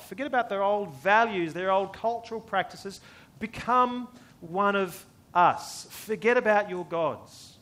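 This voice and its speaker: A man with an Australian accent delivers an expository sermon in a notably high voice. He works rhetorically, posing questions and restating phrases to drive each point home. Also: a bit bright. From born in the US, lived most of his life in Australia. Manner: rhetorical, repeats phrases for emphasis, asks and answers questions. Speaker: preacher.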